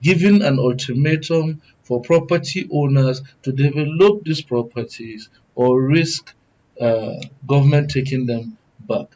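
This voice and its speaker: A man with a Nigerian accent giving serious panel commentary in a deliberate, emphatic, oratorical way. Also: mid-range voice, warm tone, muffled recording. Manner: deliberate, emphatic, oratorical, repeats phrases for weight. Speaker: guest commentator, good governance advocate.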